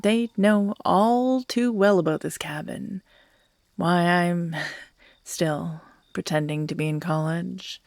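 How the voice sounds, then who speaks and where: Actress as obsessive young female captor for audio roleplay: unhurried, creaky voice, manipulative, theatrical, swinging from whisper to outburst. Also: on the low side, a little mellow, no echo. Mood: sweetly menacing. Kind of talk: dramatic ASMR audio roleplay acting.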